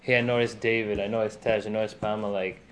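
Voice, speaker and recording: A man's voice: fairly low pitch, level tone, fast talker, a little hiss or hum. A man hosting a casual conversation, chatty and full of "like" and "right?".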